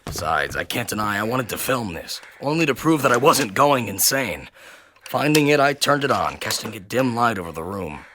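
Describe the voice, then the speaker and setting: A man reading a first-person horror story in an intense, theatrical manner that swings between hushed and loud. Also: mid-range voice, very animated, moderate pace, background noise. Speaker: narrator and reader of a horror story.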